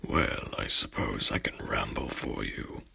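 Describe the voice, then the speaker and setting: A man playing a courtly, haughty headless horseman in a whispered ASMR roleplay, speaking with pompous, archaic formality in a breathy, gravelly voice. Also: a bass voice, expressive, unhurried, pushed and bright, exaggerated voice. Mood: soothing, formal.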